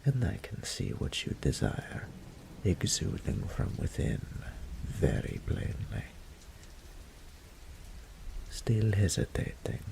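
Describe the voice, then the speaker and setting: A male voice actor playing a seductive, smug male greater incubus in an audio roleplay drama, with breathy whispers and a slow, purring, condescending manner. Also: deep voice, sing-song, darkish tone, slight background noise, heavily acted, poor audio. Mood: seductive, teasing.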